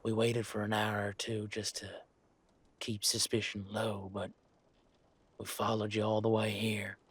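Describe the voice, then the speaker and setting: A rough mercenary brigand, played by a man, low and husky with an unhurried delivery. He is laconic, folksy and cagey, stubbornly casual, a shady character from a fantasy roleplay. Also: completely flat delivery, dry recording. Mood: wary confession. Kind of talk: fantasy roleplay audio drama.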